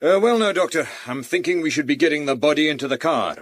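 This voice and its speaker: An actor playing a practical, deferential police sergeant with a Scottish accent and polite, hesitant manner, in a radio drama. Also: male voice, on the high side, animated, quick pace, no room sound. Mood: businesslike.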